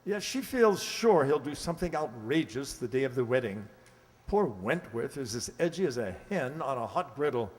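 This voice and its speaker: A man's voice: mid-range voice, sing-song, moderate pace, lightly acted. An actor playing a flustered, hesitant middle-aged English lord and father in a radio play. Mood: anxious, confiding. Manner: hesitant, fussy, stammering. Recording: echoey hall, long reverb.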